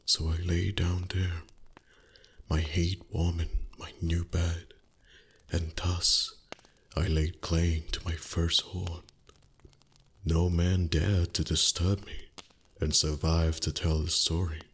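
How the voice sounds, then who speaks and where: Man voicing a very husky, low, ancient dragon. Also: flat delivery, heavily acted. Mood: languid, proud. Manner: slow, deliberate, haughty, savouring words. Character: proud, greedy, centuries-old male dragon reminiscing to a human. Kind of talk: ASMR roleplay storytelling.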